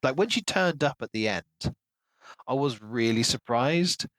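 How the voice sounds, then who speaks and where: A man's voice: medium pitch, animated, unhurried, a bit bright, dry recording. A man co-hosting a casual book discussion, giving his opinions in an analytical way. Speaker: podcast co-host.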